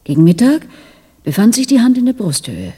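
A woman acting an earnest, devoted female doctor in a German radio drama, speaking softly and huskily in a measured, intimate way. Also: on the high side, wildly swooping pitch, husky, heavily acted. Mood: grave, measured.

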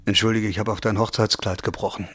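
An actor playing a gentle, introverted, gravely ill middle-aged man in an audio drama, speaking in a quiet, reflective and measured way. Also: fairly low pitch, level tone, a little hiss or hum, close to an everyday voice. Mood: pained, apologetic. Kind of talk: naturalistic audio drama acting.

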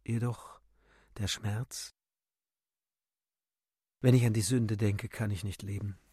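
A man narrating literary prose for a radio drama in a measured, solemn, dramatic manner. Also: lowish voice, steady tone, dark tone, breathy edge, dry recording, studio quality.

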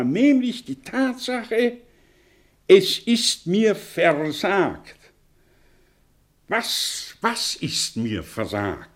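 Tormented bitter thinker, super slow dramatic monologue, voice swings all over, radio play. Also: male voice, sits high, exaggerated voice. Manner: dramatic, brooding, repeating phrases with long pauses. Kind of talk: theatrical German radio monologue.

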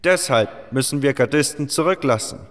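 A man voicing a blunt, worried male leader of mine guards in a fan audio drama, talking gruffly and with exasperation. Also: mid-range voice, moderate pace, pitched above the performer's own voice, close to an everyday voice. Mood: grim. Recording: long hall reverb, faint music.

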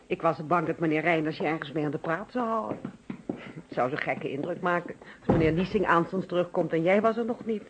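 An actress with a Netherlands Dutch accent playing a concerned, attentive housewife in a radio play, solicitous and proper. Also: female voice, lowish voice, brisk, close to an everyday voice. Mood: relieved, concerned. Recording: noisy old radio play recording.

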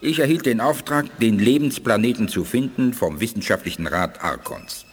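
Grave alien scientist, lowish voice, lively pitch, long reverb. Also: male voice, normal speed, husky. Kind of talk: 1980s German radio play acting.

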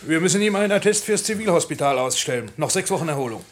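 Quick-talking army doctor in a roomy space man acting. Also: fairly high pitch, animated, slight background noise, lightly acted. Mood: concerned. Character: male army staff doctor, alert and officious. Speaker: actor in a radio play. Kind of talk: 1960s German radio drama acting.

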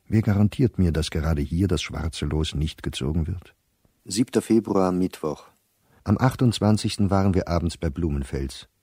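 A middle-aged scholar reading his private diary in a radio drama. The voice is very low and dark, with a husky grain, recorded dry and close. He speaks with restraint, isolated, anxious and resigned. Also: no room sound. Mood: uneasy, dated entries, then bitter, helpless. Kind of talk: literary radio-play diary monologue.

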